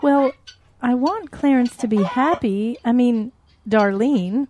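Woman as a high, fretful mother cow. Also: slow, dark tone, dull audio, a little hiss or hum. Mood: fretful, giving in. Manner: hesitant, fretful. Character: worried, doubtful mother cow. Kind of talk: comic audio drama acting.

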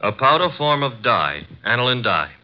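A cocky young assistant detective, voiced by a man trades brisk wisecracks in an even, middle-pitched voice. The sound is a dull, lo-fi 1950 radio transcription. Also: natural voice. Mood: eager reasoning. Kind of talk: 1950s radio drama acting.

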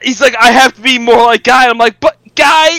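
A man with a bright, high-pitched voice chats as a podcast co-host. His delivery is excitable and exasperated, swinging widely in pitch. The sound is dry. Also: fairly quick, dry recording. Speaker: podcast co-host reviewing anime. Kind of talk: casual review chat with mocking quotes.

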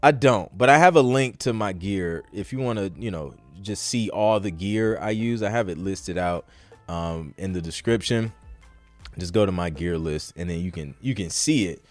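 A man's voice: sits low, normal speed. A man hosting a casual livestream Q&A about music production, talking in an informal, slangy, conversational way. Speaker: podcast host and music producer.

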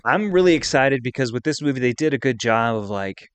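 A male podcast co-host talks in a middle-pitched voice. He is chatty and conversational, rambling casually from one point to the next. The sound is close and dry, with very little room in it. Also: lively intonation, brisk, clear, resonant tone, no room sound.

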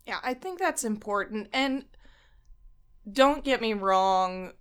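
A woman's voice: on the high side, animated, slow, clear, resonant tone. A woman co-hosting a casual podcast chat about tabletop games, chatty, acting out imagined players and using many fillers.

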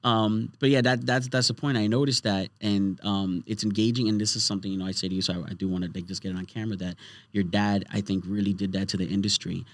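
Fast-talking man with a low voice. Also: steady tone, dry recording. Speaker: podcast host. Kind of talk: casual podcast conversation. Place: small podcast studio, indoors.

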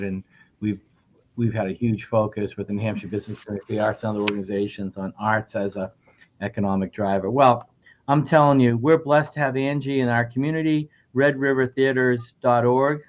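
Brief: male radio co-host, low voice, upbeat, muffled lo-fi sound. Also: a little hiss or hum. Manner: enthusiastic, repeats words when starting. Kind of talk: radio interview. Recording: dull lo-fi radio audio.

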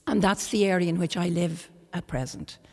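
An Irish woman, a traditional singer whose first language is Irish, speaking calmly as an interview guest in a monastery room. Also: on the low side, normal speed, in a large hall. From Ireland.

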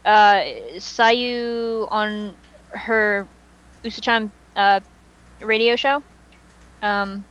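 A woman's voice: medium pitch, little pitch movement, drawn-out words, long pauses, fairly bright tone, smooth, lo-fi sound. A woman podcast host chatting casually and giving her opinions, with plenty of "like" and some swearing. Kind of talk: casual fan chat.

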